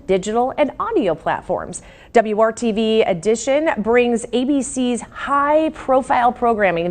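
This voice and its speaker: Female news anchor, American, higher-pitched voice, scripted local newscast delivery.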